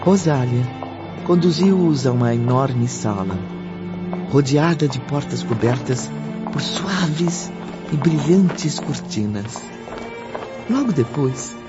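A man narrating a radio drama as a solemn storyteller, with measured, literary delivery. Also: mid-range voice, animated. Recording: dull audio with music bed.

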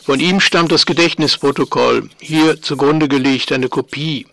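Higher-voiced, matter-of-fact archivist. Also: male voice, moderate pace, creaky, fairly bright tone, no room sound. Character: dry archivist announcing documents from old files. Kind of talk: scripted narration in radio play.

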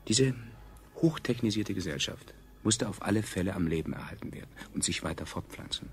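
A man acting as the coolly sardonic chronicler of a doomed science colony, narrating a radio play in a clinical, ironic deadpan. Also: low-pitched. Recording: 1970s radio production, clear background noise.

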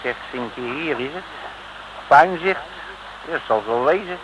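A man's voice: on the high side, lively intonation, measured pace. An actor playing a brash, wily male detective with chatty, folksy patter in a 1960s Dutch radio comedy serial. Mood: breezy. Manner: cheeky, folksy, wheedling patter. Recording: noisy old radio recording.

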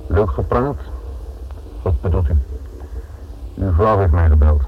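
A very low male voice plays a stern police inspector in a radio drama. He is formal and dryly sardonic, lecturing like a man used to authority. The recording is tinny and narrow, with some background noise. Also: animated, a little slow. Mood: dryly sceptical. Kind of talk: radio play acting.

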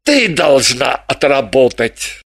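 Женщина изображает унылого пьяного мужика. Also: female voice, extremely low pitch, big pitch swings, slow, no room sound, studio quality, voice pushed down, heavily acted. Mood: mournful. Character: dazed drunken man, slow and mournful.

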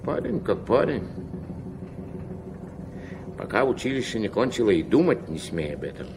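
An actor playing a gruff, strict old river captain and grandfather, curt and moralising, in a Soviet radio play. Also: fairly low pitch, big pitch swings, normal speed. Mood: gruff, instructive. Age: older. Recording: noisy old 1970s mono radio recording.

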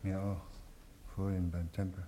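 Sober roman soldier, dark lowish voice, measured, old hissy radio play. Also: male voice, little pitch movement. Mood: sober, doubtful. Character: Roman soldier, measured, quietly doubtful henchman. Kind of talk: 1950s German radio drama acting. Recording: hissy, clicky 1950s radio recording.

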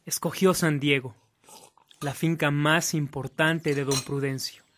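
A man's voice: a little high, steady tone, measured pace, fairly bright tone, a little hiss or hum. A man with a Mexican accent reading scripted narration for a radio drama.

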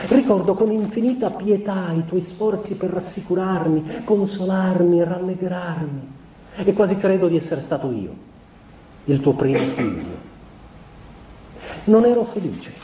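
An actor playing a melancholy husband confessing to his wife in a theatrical monologue, speaking in a measured, solemn way with deliberate pauses, recorded roomy and from a distance. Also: sits high, dark tone. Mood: tender, uneasy. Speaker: stage actor. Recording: roomy, distant mic, faint background noise.

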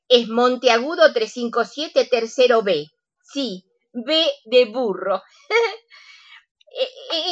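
A woman's voice: fairly high pitch, pushed and bright, muffled recording, full character voice. An actress playing a flustered, giddy older woman with an Argentine accent in a comic radio play. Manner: hesitant, flustered, polite. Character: giddy, daring older woman, nervous and tipsy.

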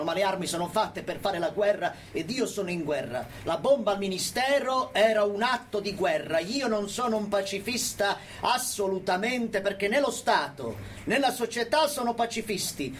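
Unrepentant militant prisoner played by a male actor, high-pitched voice, firm and combative delivery, radio drama, faint noise. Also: natural voice. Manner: firm, declarative, defiant. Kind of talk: theatrical monologue, radio play.

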